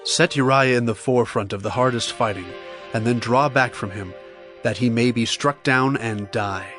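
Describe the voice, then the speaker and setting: An actor playing an authoritative king in a dramatized audio Bible, issuing orders in a deliberate, commanding manner. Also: medium pitch, fairly bright tone, soft background music, close to an everyday voice. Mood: cold, calculating. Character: authoritative male king giving calculated orders.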